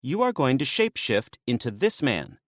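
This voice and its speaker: A man voicing a sharp-tongued, bossy female maenad with a dry, mocking manner. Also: medium pitch, big pitch swings, moderate pace, no room sound, voice pushed up. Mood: sarcastic.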